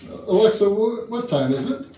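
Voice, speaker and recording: A man's voice: sits high, lively intonation, brisk, warm tone. A man playing a genial, appreciative boss hosting a party in a staged comedy reading. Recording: echoey rehearsal room, distant, faint noise.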